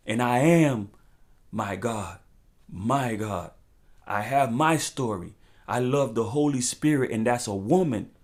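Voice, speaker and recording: A man's voice: mid-range voice, darkish tone. Impassioned man preaching in street slang. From United States. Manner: preaching, profane, repetitive, emphatic. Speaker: talk show host. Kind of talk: impassioned freestyle monologue with street slang and rhyming phrases.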